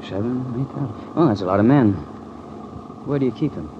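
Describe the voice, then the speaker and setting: An actor playing a hard-boiled, principled American investigator in a vintage radio drama, terse and measured as he narrates and speaks. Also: mid-range voice, background noise, lightly acted. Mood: probing. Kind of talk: 1940s-50s radio drama acting with first-person narration. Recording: muffled vintage radio with music.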